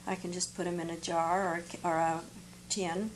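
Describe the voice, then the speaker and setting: A woman's voice: lowish voice, little pitch movement, brisk, a little hiss or hum, poor audio. An older woman on a studio panel sharing practical home cooking tips in a chatty, homely way. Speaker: panel guest. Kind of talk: conversational studio panel chat. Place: TV studio set, indoors.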